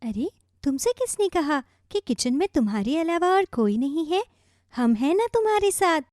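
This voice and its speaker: A woman's voice: high-pitched, sing-song, moderate pace, darkish tone, no room sound, clean studio sound, voice pushed up. A woman voicing a tiny, cute magical talking strawberry in a children's story, chirpy and pleading. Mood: playful. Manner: chirpy, pleading, repeats words.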